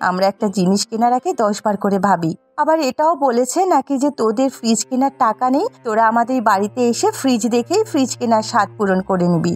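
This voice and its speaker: A woman's voice: fairly high pitch, lively intonation, fast, hardly any pauses, darkish tone, soft background music. A woman playing a demanding, jealous village wife in a cartoon folk tale, scolding and insistent. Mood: aggrieved. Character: demanding, jealous village wife nagging her poor husband.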